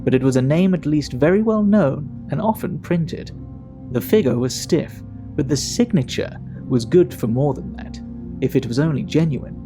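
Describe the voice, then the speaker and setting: An actor playing a Victorian gentleman in an audio drama, recounting a grim tale in measured, emphatic, old-fashioned phrasing, indignant yet composed. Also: a little high, expressive, over music, natural voice. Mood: intrigued, measured.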